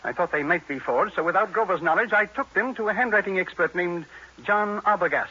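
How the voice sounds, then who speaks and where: A man's voice: high voice, fairly quick, sharp tone, husky, exaggerated voice. An actor in an old radio drama playing a wealthy, haughty man of sixty with a formal, precise manner. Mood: businesslike. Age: 60s. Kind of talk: 1940s radio drama acting. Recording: dull vintage radio recording.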